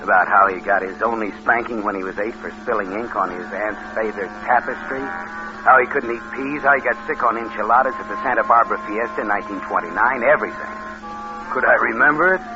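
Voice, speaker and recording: Male actor as scheming young drifter, sly, mid-pitched, vintage radio thriller, muffled recording, music behind. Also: brisk, natural voice. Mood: sly narrating. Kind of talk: 1950s radio crime drama, first-person narration.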